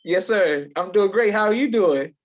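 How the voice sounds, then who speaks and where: A man's voice: sits high, expressive, normal speed, highs cut off. A man hosting a casual interview podcast in an affirming, courteous manner. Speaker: podcast host.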